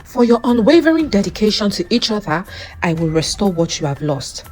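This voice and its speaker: A woman voicing a gentle, soothing, wise female spirit in a storybook folktale. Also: mid-range voice, very animated, fairly quick, close to an everyday voice. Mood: tender. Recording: music under the voice.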